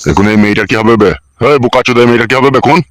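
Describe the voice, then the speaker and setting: A man as a fast, stern village elder. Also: medium pitch, steady tone, lightly acted. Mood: angry. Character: stern, foul-mouthed village elder judging a wrongdoer. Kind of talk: cartoon voice acting.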